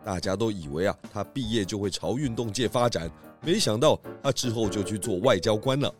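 Chubby pig detective, played by a man: jovial, playful, lively intonation, children's audio drama. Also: fairly low pitch, brisk, soft background music, natural voice. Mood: amazed, chatty. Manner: exclamatory, playful.

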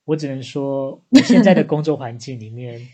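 A man's voice: medium pitch, expressive, normal speed, mellow. A young man with a Taiwanese Mandarin accent co-hosting a podcast in casual, teasing banter, dropping in English words. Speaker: podcast co-host.

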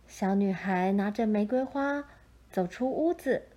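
A woman storyteller reads a children's tale from a script. Her voice is mellow and mid-pitched. She takes her time, keeping a gentle, measured delivery throughout. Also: lo-fi sound. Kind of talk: scripted children's picture-book narration.

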